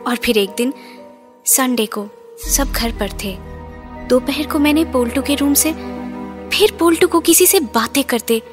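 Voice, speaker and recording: A woman's voice: high voice, unhurried, long pauses, over music. A woman playing an anxious mother in an audio horror drama, telling her story in a theatrical, alarmed way. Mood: mystified, narrating. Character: anxious Bengali mother recounting a haunting.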